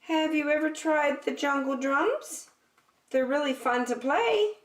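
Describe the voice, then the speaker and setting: A woman's voice: sits high, a little slow, voice pushed up. A woman voicing a friendly, coaxing sheep who plays music in a children's picture book. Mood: cheerful, inviting. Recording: roomy, echoey, distant microphone.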